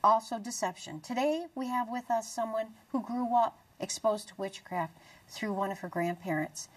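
A middle-aged American woman presenting a religious talk show in an earnest, deliberate way. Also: on the high side, far from the mic, low-quality recording. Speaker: TV show host. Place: small dark TV studio, indoors.

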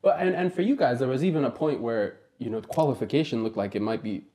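A man's voice: mid-range voice, expressive, fast, mellow. A man from Kenya hosting a podcast, asking long rambling questions full of fillers, recorded on a lapel mic in a small wood-panelled room. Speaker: podcast host. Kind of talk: casual interview.

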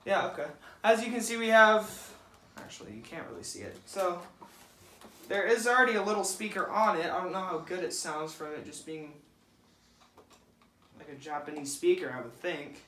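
A teenage boy hosting a casual unboxing video in a living room, slowly talking through each step as he assembles a toy. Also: high voice, expressive, pushed and bright, a little hiss or hum. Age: teens. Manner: slow, halting, describes each step aloud. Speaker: unboxing host. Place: living room, seated at a table. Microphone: camera across the table. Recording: roomy, camera some distance off.

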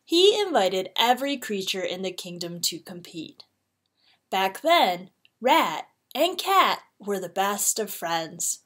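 A woman narrating a children's storybook expressively, with deliberate, dramatic phrasing. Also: mid-range voice, big pitch swings, fairly bright tone. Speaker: read-aloud teacher.

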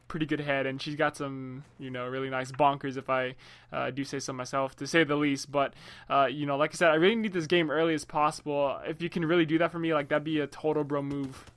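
Bright, higher-voiced young man, rambling. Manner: rambling, pleading, colloquial slang. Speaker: prank caller and video host. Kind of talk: casual prank phone chat.

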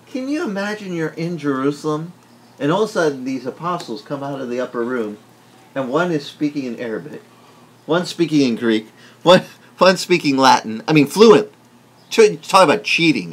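A middle-aged man whose first language is Italian, preaching an animated teaching sermon indoors and asking the room questions. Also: on the high side, slight background noise. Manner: animated, gesturing broadly, asks the room questions. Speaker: pastor. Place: wood-paneled indoor room.

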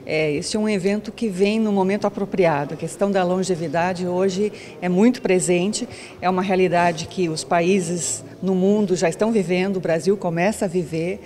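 Brazilian woman, middle aged, answering interview questions, voice a bit low. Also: steady tone, moderate pace, mellow. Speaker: event project director. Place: event lounge. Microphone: handheld interview microphone.

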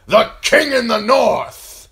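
Fantasy audiobook role: massive fierce warlord, thunderous, scornful, raised shouting delivery. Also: male voice, fairly high pitch, very animated, measured pace, gravelly, pitched above the performer's own voice, heavily acted. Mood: rousing defiance. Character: huge booming northern lord, fierce, defiant rebel. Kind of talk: audiobook fantasy character acting.

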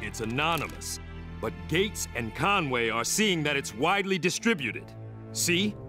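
A man's voice: on the high side, sing-song, unhurried, over music. A man voicing an earnest, wary young colonial man who talks with urgency.

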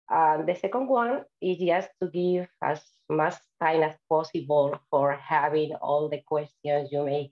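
A woman's voice: deep voice, highs cut off. A woman from Spain with a Spanish accent gives an online seminar talk over a video call, chatty and friendly, with frequent "uh" fillers. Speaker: invited speaker.

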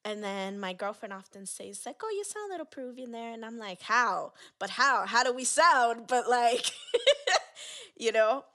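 A woman hosting a podcast, animated and quick to laugh, acting out the people she quotes. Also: medium pitch. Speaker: podcast host. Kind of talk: candid interview conversation.

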